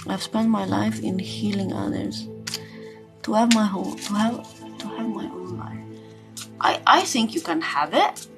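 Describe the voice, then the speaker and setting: A woman speaks at a slow pace over prominent background music. She sounds like a spiritual coach doing an informal live card reading, hesitating and circling back over words as she talks to viewers. Her pitch sits in an ordinary female range. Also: creaky. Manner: hesitant, searching, often repeating words. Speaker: tarot reader and spiritual coach hosting a live session. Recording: loud music under the voice.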